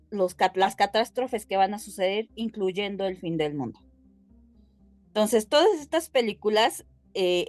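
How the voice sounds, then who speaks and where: Woman, medium pitch, casual podcast explainer, dry close sound, faint music behind. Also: darkish tone, dry recording. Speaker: podcast co-host.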